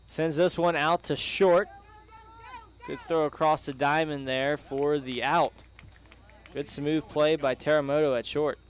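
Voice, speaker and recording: A man's voice: a little high, fairly even intonation, measured pace. A young man doing live baseball play-by-play, mixing the calls with casual banter. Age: young adult.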